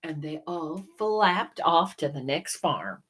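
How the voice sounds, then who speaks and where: A woman reading a children's story aloud in a dramatic, deliberate way, with hushed moments. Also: sits low, animated, slightly rough. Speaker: storybook reader.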